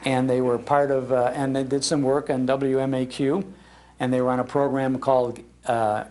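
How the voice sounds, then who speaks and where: An older American man tells nostalgic stories as a speaker at a talk. His pitch sits in the middle, and his delivery is animated and emphatic. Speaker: radio historian and broadcaster giving a talk. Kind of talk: nostalgic storytelling to a live audience. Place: public library talk.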